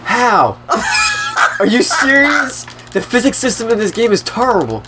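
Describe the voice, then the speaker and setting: A man's voice: very high voice, sing-song, normal speed, very noisy. A young man hosting a gaming video, playing casually with a friend, sarcastic and laughing while trash-talking the game. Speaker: gaming channel host. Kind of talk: casual let's play banter.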